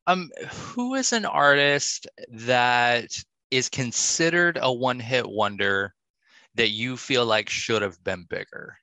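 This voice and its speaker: A male podcast host with a bright, forward voice in a very dry recording. He asks his questions casually and responds in an encouraging way. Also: medium pitch, expressive, slow pace, dry recording. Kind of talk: casual interview, asking quick-fire questions.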